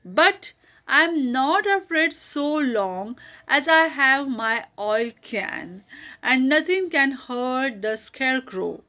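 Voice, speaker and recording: Woman reading as a reassuring tin man, high-voiced. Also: a bit bright, heavily acted, rough recording. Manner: reassuring, earnest. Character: loyal, steady tin woodman, male, fearless protector. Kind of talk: children's storybook read aloud.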